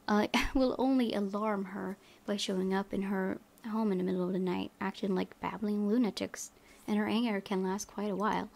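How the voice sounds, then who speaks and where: A woman reading visual novel narration aloud as a let's play host, softly, with casual asides. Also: medium pitch, creaky.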